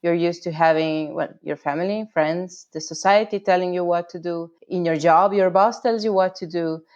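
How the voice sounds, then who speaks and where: Slightly muffled recording of a woman with a fairly low voice and a Croatian accent. She speaks as an interview guest, giving conversational answers. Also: normal speed, a little mellow. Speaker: interview guest, urban activism project founder.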